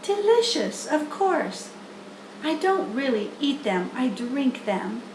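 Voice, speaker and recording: A woman's voice: sits high, very animated, echoey room, noisy background, exaggerated voice. A woman voicing a small female spider from a children's storybook, pleasant, composed and matter-of-fact, with a thin, genteel voice. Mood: frank, relishing. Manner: measured, genteel, candid. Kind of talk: children's storybook character reading.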